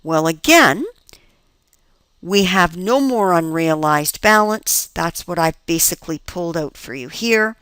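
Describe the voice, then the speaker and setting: A woman with a fairly low voice teaches in an instructional lecture style. She explains worked examples step by step, stressing key points and checking in with rhetorical questions. The sound is close and dry, with very little room on it. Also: lively intonation, measured pace, fairly bright tone, clean tone, no echo. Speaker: accounting instructor.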